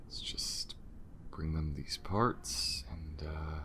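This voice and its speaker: A man's voice: steady tone, drawn-out words, sharp tone, heavily acted. A man voicing an injured, wary alien spacefarer in an ASMR audio roleplay, speaking in a hesitant, casual mutter with a wry edge. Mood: wary, uneasy. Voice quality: very deep, gravelly. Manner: hesitant, casual, muttering with sighs and half-whispers. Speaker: voice actor. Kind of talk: ASMR audio roleplay, one side of a conversation.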